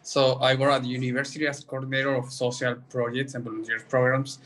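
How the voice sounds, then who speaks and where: A man's voice: mid-range voice, level tone, fast, fairly bright tone, slight background noise. A man from Peru, a university coordinator speaking friendly, careful English over a video call. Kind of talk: casual chat.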